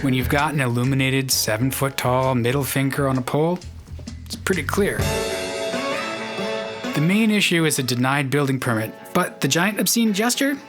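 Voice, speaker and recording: A man's voice: medium pitch, lively intonation, moderate pace. A male podcast host with a mid-range voice reads scripted narration. He tells it wryly, with prominent guitar music underneath. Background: loud plucked guitar music.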